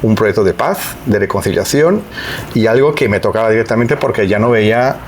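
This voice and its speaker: A man's voice: on the low side, lively intonation, a little fast, slight background noise. A middle-aged man from Italy's Veneto, speaking Spanish with an Italian accent as a podcast guest, reflective and hesitant with many restarts. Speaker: guest, EU civil servant. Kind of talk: podcast interview.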